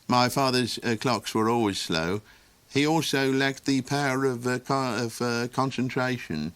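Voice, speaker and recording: A man's voice: mid-range voice, measured pace, a bit bright, smooth, natural voice. A man voicing a whimsical, forgetful Golok who rambles and stumbles over his words. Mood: rambling. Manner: rambling, hesitant, trailing off.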